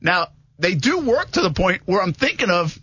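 Lively, husky radio host man. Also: sits high, normal speed. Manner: rambling, animated, lots of fillers and restarts. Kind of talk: casual sports radio chat. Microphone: studio microphone. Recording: muffled radio studio mic.

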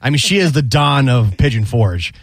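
A man in his forties riffing on a talk radio show, pressing his point as he tells a story. His voice is mid-pitched and dry, close on a studio mic. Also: sing-song, normal speed, dry recording. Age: 40s. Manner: storytelling, insistent. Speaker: radio co-host. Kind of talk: casual radio banter. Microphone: studio microphone.

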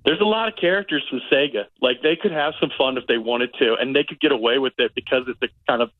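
Male radio guest critic, rapid conversational delivery, chatty and opinionated, mid-pitched, dry sound. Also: dry recording, phone-quality sound. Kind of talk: casual radio movie review chat.

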